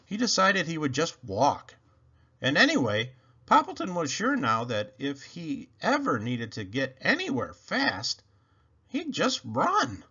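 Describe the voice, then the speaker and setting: Guy reading a kids book out loud, super expressive, slightly dull lo-fi recording. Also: fairly high pitch, a little slow, slightly rough. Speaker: storybook reader. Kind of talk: animated children's read-aloud narration.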